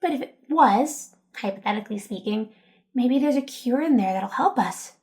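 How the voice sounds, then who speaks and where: A woman's voice: a little high, animated, moderate pace, darkish tone, lightly acted. A woman playing an anxious yet hopeful, loyal teenager in an audio drama, speaking earnestly and tentatively. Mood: cautiously hopeful.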